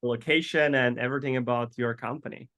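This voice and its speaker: Man host, halting speech. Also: medium pitch, steady tone, fairly bright tone. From Poland. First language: Polish. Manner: halting, repeats words while searching for them. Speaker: podcast co-host. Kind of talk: casual interview.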